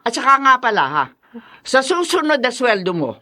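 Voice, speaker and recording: A woman's voice: sits high, big pitch swings, moderate pace, pushed and bright, exaggerated voice. An actress in a Tagalog radio drama playing a hard, grasping woman who scolds and demands money. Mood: scolding. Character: hard, grasping mother-in-law type demanding debt repayment.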